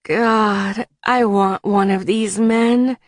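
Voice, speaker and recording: A woman's voice: medium pitch, steady tone, extremely slow, no room sound, studio quality, voice pushed down. A woman voicing a frank, reassuring young woman, a loyal sister, in an audiobook.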